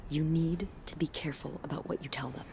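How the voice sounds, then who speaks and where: A woman playing a guarded, caring character in a video game, confiding haltingly in a friend. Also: sits low, steady tone, creaky, a little mellow, slight background noise. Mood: serious, caring. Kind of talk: naturalistic video game acting.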